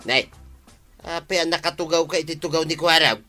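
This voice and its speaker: A man's voice: on the high side, fairly even intonation, brisk, music in the background, close to an everyday voice. An actor playing a chatty, boastful village man in a radio comedy drama, with an Ilocano accent. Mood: indignant.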